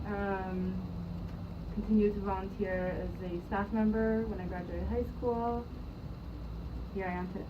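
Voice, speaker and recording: A woman's voice: mid-range voice, steady tone, unhurried, pauses often. A young woman who grew up in Jerusalem, telling her story in a hesitant, casual way with many ums and restarts. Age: young adult. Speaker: interviewee, youth program staff member. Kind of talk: casual spoken recollection. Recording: distant mic, muffled, noisy.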